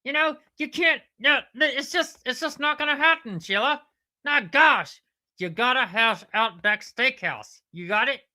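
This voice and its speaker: Guy doing a whiny platypus puppet, high sharp voice, touchy n exasperated, keeps repeating himself. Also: lively intonation, unhurried, full character voice. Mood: indignant.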